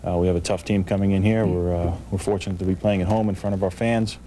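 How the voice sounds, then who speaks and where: A man's voice: sits low, flat delivery, quick pace, mellow, poor audio. A man, a football player, answering off the cuff in a press interview.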